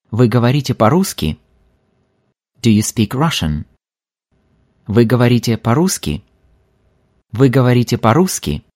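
A male language teacher reads a scripted lesson at a very unhurried pace. His pitch rises and falls in a lively way. He repeats each phrase clearly for learners.

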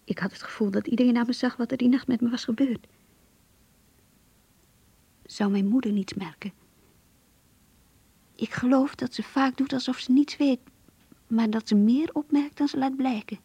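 An actress playing a shy, anxious young woman in love, reading her diary in a radio drama in an intimate, hesitant, breathy voice. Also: a little high, steady tone, moderate pace, pauses often, warm tone, no room sound, muffled recording. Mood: tender, yearning. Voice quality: husky, breathy, half-whispered.

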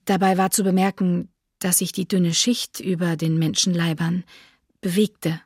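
Woman witness statement, sober, lowish voice, dry studio, radio drama. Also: flat delivery, measured pace, a little mellow, husky, no room sound. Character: woman eyewitness living near the massacre site, sober. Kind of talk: documentary radio drama testimony.

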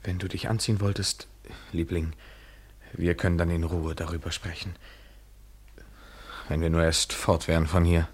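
A deep, husky male voice, a courteous lover. He is restrained, apologetic and soothing, in intimate radio drama style. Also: little pitch movement, moderate pace, pauses often. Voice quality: low, husky.

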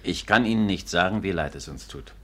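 An actor in a 1950s German radio play playing a polite, formal, measured police official. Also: male voice, sits low, expressive, fairly bright tone. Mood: regretful, businesslike.

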